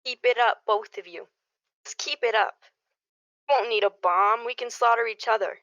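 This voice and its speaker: A woman's voice: fairly high pitch, normal speed, sharp tone, no echo. A woman playing a level-headed but exasperated neighbor in a radio play, insistent and reproachful. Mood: bitter sarcasm. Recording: dull lo-fi audio.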